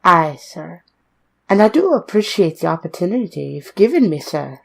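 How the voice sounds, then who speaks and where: A woman's voice: on the low side, sing-song, moderate pace, creaky, breathy edge, heavily acted. An actress playing a meek, earnest young woman seeking work, timid, polite and deferential, in an old-time radio drama style. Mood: nervous, respectful. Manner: polite, timid, hesitant, formal.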